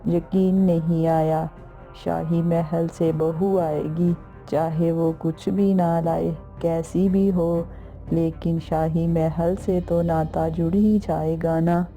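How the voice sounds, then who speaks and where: A woman voicing a humble, doubtful old beggar mother in a cartoon story, with a creaky voice. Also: sits low, level tone, slow pace, warm tone, soft background music. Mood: surprised, hopeful. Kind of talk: Hindi cartoon story dialogue.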